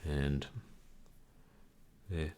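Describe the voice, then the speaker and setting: A man live-streaming a video game, talking calmly and softly, with a rambling, casual flow full of fillers. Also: extremely slow. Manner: soft-spoken, calm, rambling with fillers.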